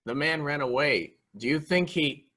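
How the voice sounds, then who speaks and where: A man's voice: a little high, moderate pace, sharp tone. A man acting an anxious, fretful member of a mansion household in a murder mystery reading. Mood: agitated.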